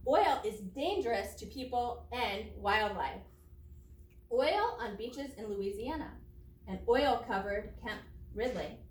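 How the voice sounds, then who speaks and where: A woman's voice: a little high, animated, slow pace, a little hiss or hum. A woman teacher reading a children's non-fiction book aloud, explaining it expressively in simple words for young listeners. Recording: roomy echo, distant microphone.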